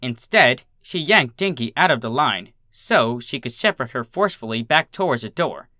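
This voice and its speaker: Bright, animated storybook narrator, dry sound. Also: medium pitch, normal speed, dry recording. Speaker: narrator of fan fiction readings. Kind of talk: expressive scripted storytelling.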